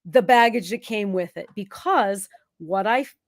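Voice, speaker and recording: A woman in her thirties with a cold, hosting a live Q&A as a productivity consultant, chatty and quick to agree. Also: medium pitch, dry recording, studio quality. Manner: chatty, self-interrupting, many quick yeses. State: has a cold, sniffling. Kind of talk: live stream Q&A chat.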